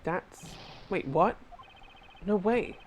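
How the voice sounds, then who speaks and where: A man's voice: sits high, expressive, drawn-out words, a little hiss or hum, voice pushed up, exaggerated voice, low-quality recording. A man dubbing a flustered young male defense lawyer in a game, stammering often. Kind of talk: fan dub of a game.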